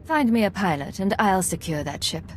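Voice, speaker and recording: An actress playing a cool, resolute Imperial agent in a game, with firm, businesslike speech. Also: female voice, mid-range voice, animated, normal speed, slight background noise, lightly acted. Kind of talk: video game cutscene acting.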